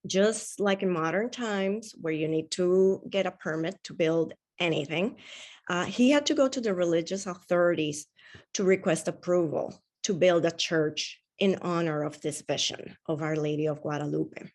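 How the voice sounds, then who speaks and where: A woman from Rico, a Spanish speaker, giving a storytelling talk at a spiritual service with frequent uhs. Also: on the low side. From Puerto Rico. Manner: warm, unhurried storytelling with frequent "uh". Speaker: guest speaker.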